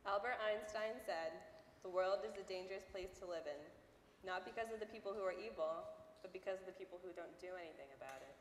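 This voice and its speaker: A woman's voice: medium pitch, quick pace, a little hiss or hum. A young woman giving a solemn, declamatory readers theater recitation as a student performer. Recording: echoey auditorium with long reverb.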